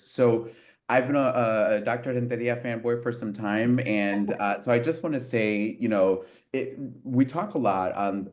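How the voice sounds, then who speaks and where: A man from Puerto Rico co-hosting a podcast, speaking in an earnest, heartfelt way with halting restarts. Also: fairly low pitch, a little mellow. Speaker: podcast co-host. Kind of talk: casual podcast conversation.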